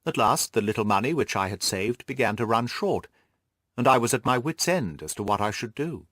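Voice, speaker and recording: Animated male audiobook narrator, dry sound. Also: mid-range voice, fairly quick, no room sound. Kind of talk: expressive literary scripted narration.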